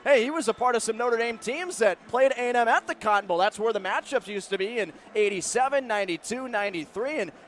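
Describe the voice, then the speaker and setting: Casting: man, American accent, very high-pitched dramatic football radio play-by-play caller, over noisy stadium ambience. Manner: dramatic, swings from measured detail to excited calls. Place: stadium broadcast booth. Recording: live stadium radio broadcast, loud crowd noise. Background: loud stadium ambience.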